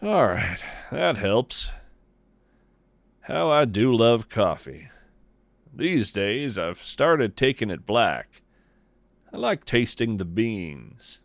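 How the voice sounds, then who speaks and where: Unhurried man musing, lively intonation. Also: lowish voice, vocal fry, dry recording. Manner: folksy, musing, poetic, deliberate phrasing. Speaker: podcast host. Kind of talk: scripted first-person narration in a fiction nature podcast.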